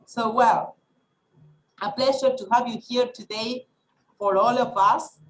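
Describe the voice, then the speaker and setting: A woman who is a native Spanish speaker, hosting a webinar with a careful, somewhat halting delivery. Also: a little high, steady tone. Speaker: webinar host. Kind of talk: welcoming and introducing a speaker, partly read. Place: indoors on a video call. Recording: echoey video-call audio.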